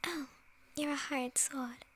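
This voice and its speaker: High, whispery, slow-talking sly witch, acted by a woman. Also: fairly even intonation. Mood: teasing, playful. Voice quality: breathy, whispery soft voice. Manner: slow, teasing, with soft chuckles. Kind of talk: ASMR fantasy roleplay.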